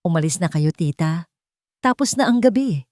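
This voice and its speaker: A woman's voice: lowish voice, animated, moderate pace, a little mellow, dry recording, lightly acted. Woman acting as guarded young male CEO, strained, stern, urgent, romance audio drama, dry sound. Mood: angry. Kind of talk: dramatic audio love story.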